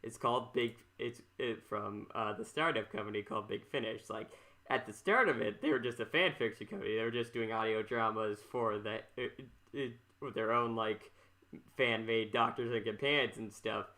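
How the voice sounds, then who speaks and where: A man hosting a podcast in casual chat, talking haltingly and rambling as he repeats himself. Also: lowish voice, pushed and bright. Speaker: podcast host.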